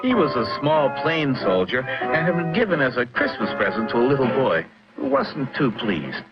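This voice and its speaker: A man's voice: medium pitch, very animated, normal speed. A man narrating a children's story in a conversational storytelling manner, speaking to young listeners, on a dull lo-fi soundtrack with music.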